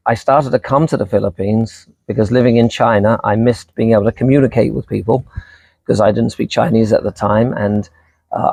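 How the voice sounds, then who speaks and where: Gravelly, mellow British man. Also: medium pitch, no room sound, low-quality recording. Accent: British with a slight American tinge. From England. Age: middle-aged. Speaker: vlogger being interviewed. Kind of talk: casual unscripted chat.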